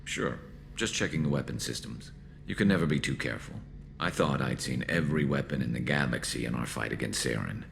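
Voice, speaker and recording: Super deep gravelly alien vigilante soldier, dry humor, loyal, video game style. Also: male voice, brisk, full character voice. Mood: dry, steady. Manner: dry, wry, clipped. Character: battle-scarred male alien soldier, loyal, wry, vengeful. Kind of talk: video game dramatic acting.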